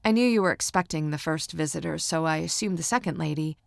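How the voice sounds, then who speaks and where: A woman's voice: deep voice, fast, vocal fry, no room sound, clean studio sound. A woman voicing a polite, chirpy female restaurant server, casual and apologetic, in an audiobook.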